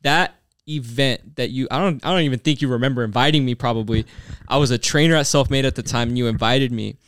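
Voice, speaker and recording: A man with a smooth, resonant, mid-pitched voice hosts a casual podcast chat. He asks eager, rambling questions full of "like" and "right," recorded dry and close to the mic. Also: fast, fairly bright tone, dry recording. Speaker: podcast host.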